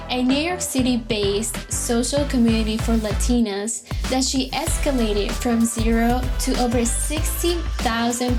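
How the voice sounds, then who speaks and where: Bright-voiced woman podcast host over music. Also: fairly high pitch. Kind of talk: scripted intro, then casual interview chat. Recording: music bed under the voice.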